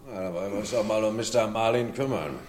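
An actor playing a corrupt, cynical police chief in a noir radio crime drama, curt, smug and used to giving orders. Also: male voice, lowish voice, quick pace, a little mellow, close to an everyday voice. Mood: smug, scheming.

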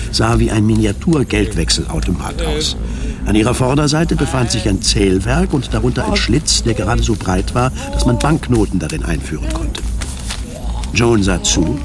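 A man narrating a radio drama in a scripted, literary storytelling style. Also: fairly low pitch. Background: music.

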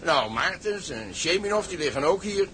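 High-pitched, hearty sailor. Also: male voice, sing-song, normal speed, pushed and bright, a little hiss or hum, heavily acted. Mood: excited, welcoming. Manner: hearty, familiar. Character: excitable, hearty sailor mate. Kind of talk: Dutch audio drama acting.

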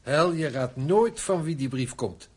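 An actor playing a concerned, encouraging friend in a radio play, speaking in an earnest, urging way. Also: male voice, on the high side, lively intonation, measured pace, a little mellow, breathy edge. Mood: surprised, encouraging.

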